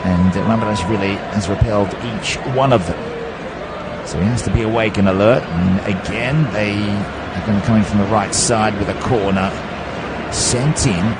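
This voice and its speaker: A man's voice: fairly low pitch, normal speed. A man giving live football commentary, lifting his voice on chances and stumbling now and then over player names. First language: not Czech. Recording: loud background noise.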